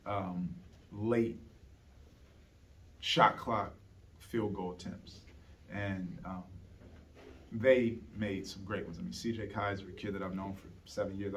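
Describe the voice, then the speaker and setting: Earnest coach, distant mic. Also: male voice, fairly low pitch, a little hiss or hum. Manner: earnest, with frequent repeated words and restarts. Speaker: head basketball coach. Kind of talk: postgame press conference answers. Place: press conference room, seated at a table. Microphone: table microphone on a stand. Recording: heard from some distance.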